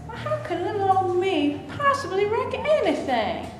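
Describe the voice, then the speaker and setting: Woman doing a airheaded blonde starlet, high bouncy voice, flirty and full of herself, echoey room w/ noise. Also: big pitch swings, moderate pace. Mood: chirpy.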